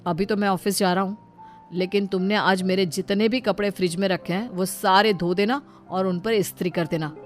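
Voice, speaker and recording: A woman's voice: medium pitch, normal speed, soft background music, natural voice. A woman voicing an exasperated young husband in a cartoon story, fussy and incredulous but indulgent. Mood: instructing. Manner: incredulous, fussy, explaining patiently when calm. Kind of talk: Hindi cartoon storybook dialogue.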